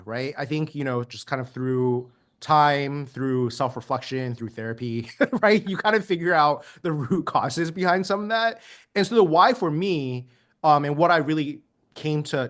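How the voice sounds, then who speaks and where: Earnest higher-pitched man, light laughs. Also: fairly bright tone. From United States. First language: Mandarin. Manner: earnest, laughs lightly between points, many ums. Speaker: guest. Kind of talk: reflective interview answers.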